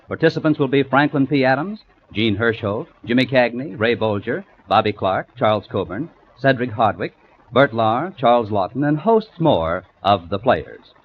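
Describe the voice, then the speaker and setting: Animated American man announcing on old radio. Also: mid-range voice, normal speed, slight background noise. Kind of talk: mid-century radio scripted narration. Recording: muffled vintage radio recording.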